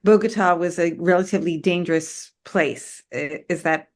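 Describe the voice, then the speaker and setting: A woman's voice: lowish voice, normal speed. A woman hosting an interview, asking direct questions. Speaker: host.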